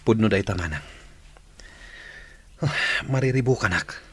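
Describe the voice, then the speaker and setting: A man's voice: on the low side, expressive, unhurried, slightly rough, no echo, exaggerated voice. An actor with an Ilocano accent playing a troubled, reluctant young man in a radio drama.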